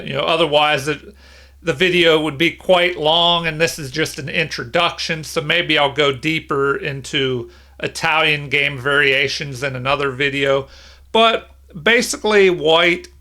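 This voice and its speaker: A middle-aged man giving an unscripted tutorial as an instructor, explaining things in a measured way. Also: on the high side, pushed and bright. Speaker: chess instructor. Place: small room indoors at home.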